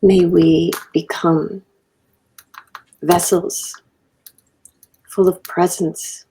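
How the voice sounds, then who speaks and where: Very slow, gravelly woman in prayer. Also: fairly low pitch, flat delivery, warm tone, a little hiss or hum, low-quality recording. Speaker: podcast guest. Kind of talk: spoken invocation.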